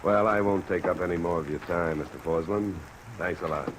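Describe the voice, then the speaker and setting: Man playing hard-boiled male investigator, lowish pitch, terse, dry, 1950s radio drama, rain noise over it. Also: a little fast, lightly acted, rough recording. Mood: probing. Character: laconic, hard-boiled male insurance investigator, world-weary narrator. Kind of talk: 1950s radio detective acting. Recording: vintage radio recording, clear rain noise overlaid.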